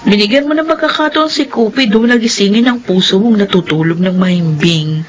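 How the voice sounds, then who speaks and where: Radio drama role: actress as a blunt young woman, exasperated best friend, emphatic and scolding, mid-pitched, music bed. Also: a little fast, dull audio, close to an everyday voice. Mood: teasing, urging.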